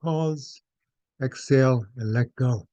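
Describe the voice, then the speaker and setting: A man leading a guided meditation as instructor, speaking in measured, gentle phrases with pauses. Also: medium pitch, no echo, highs cut off.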